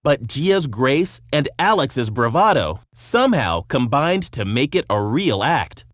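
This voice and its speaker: A man narrating a scripted children's storybook in an expressive, measured storytelling manner. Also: medium pitch, dry recording, studio quality.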